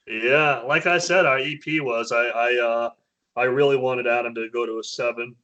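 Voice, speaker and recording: A man, a metal band's vocalist, chatting casually as an interview guest, often halting and restarting his sentences. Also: steady tone, pushed and bright, muffled recording. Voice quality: naturally low voice. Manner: hesitant, many restarts and fillers.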